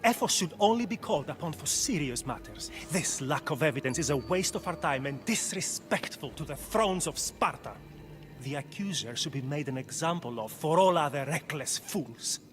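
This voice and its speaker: An actor playing a stern, disdainful Spartan magistrate in a video game cutscene, formal and severe. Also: male voice, fairly high pitch, animated, moderate pace, a bit bright, soft background music.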